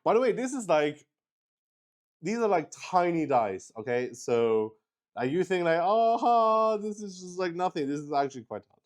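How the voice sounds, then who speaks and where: A man hosting a solo tabletop roleplaying game in casual, halting live-stream chat, thinking aloud and repeating words. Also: high voice, sing-song. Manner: swears casually.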